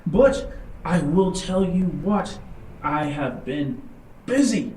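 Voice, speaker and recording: A man's voice: on the high side, animated, takes it slowly, a little mellow, echoey room, a little hiss or hum, pitched above the performer's own voice, poor audio. A man voicing a chirpy old dragon ship mind in a tabletop game, theatrical and giggly, with old-fashioned polite phrasing. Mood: cheerful, eager. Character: chirpy, chatty old dragon ship consciousness, playfully dramatic.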